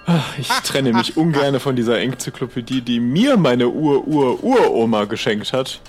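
A man's voice: medium pitch, lively intonation, moderate pace, close to an everyday voice. A man playing an easygoing pirate trader in an improvised audio adventure, talking in a casual, persuasive, conversational way. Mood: bargaining.

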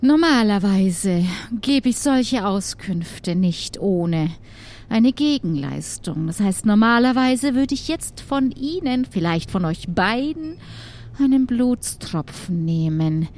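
Woman playing a haughty, slow-speaking witch. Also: medium pitch, expressive, a little mellow. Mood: sly, measured. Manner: formal, measured, haughty. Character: aloof, bargaining witch woman, collector of blood. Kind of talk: improvised audio drama acting.

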